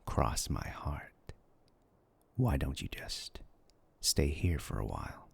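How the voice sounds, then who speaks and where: An extremely deep male demon taunts with slow, mock-polite menace. The voice is thick with gravel and husky breath, often slipping toward a whisper. It is a sinister, hungry fantasy roleplay villain who savours every pause. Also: expressive, exaggerated voice. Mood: sly menace. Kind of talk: dark fantasy roleplay voice acting.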